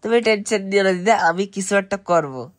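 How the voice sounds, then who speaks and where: A man's voice: sits high, normal speed. A young man with an East Bengali accent, chatting casually with family as a home vlogger.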